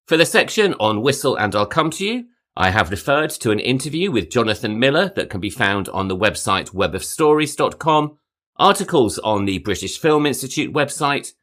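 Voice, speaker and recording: A man with a low voice reads a scripted podcast as its host. He delivers it in a measured way, with animated pitch that climbs at the start of each phrase and drops at its end. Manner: measured, lively rises then falling phrase endings. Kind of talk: scripted narration.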